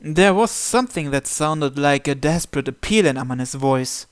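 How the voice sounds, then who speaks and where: A game narrator translating and reading visual novel text aloud, with some hesitant pauses. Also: medium pitch, lively intonation, clear, resonant tone, dry recording. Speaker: video game playthrough narrator. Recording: game music behind the voice. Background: visual novel music and Japanese voice acting.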